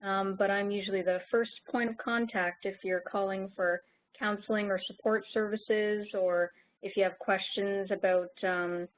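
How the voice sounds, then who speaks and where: A woman's voice: mid-range voice, steady tone, moderate pace. A woman introducing herself as an intake coordinator, friendly and informative. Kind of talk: self-introduction at an online session. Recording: dry, phone-like narrow audio.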